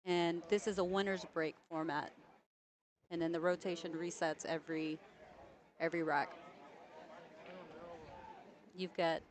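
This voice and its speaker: Friendly low-voiced woman hosting pool coverage. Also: level tone, a little slow, pauses often. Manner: friendly, explains rules, laughs often. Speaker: pool commentator and host. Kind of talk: casual live commentary.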